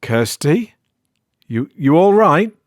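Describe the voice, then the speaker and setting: An uncertain, defensive bloke in an audiobook tale, acted by a man. He sounds rattled and colloquial, his pitch lifted well above the narration, in a dry, close recording. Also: sing-song, takes it slowly, dry recording. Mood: uneasy.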